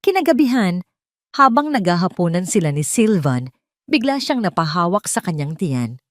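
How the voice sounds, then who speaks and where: Female narrator, lower-pitched, for suspenseful audio drama; deliberate dramatic storytelling. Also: very animated, no echo.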